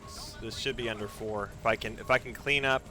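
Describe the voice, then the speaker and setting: A man's voice: lowish voice, steady tone, fairly quick, sharp tone, faint music behind. A man giving live speedrun commentary as he plays, narrating his own moves, repeating phrases and using mild exclamations instead of swear words. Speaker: video game streamer and speedrunner.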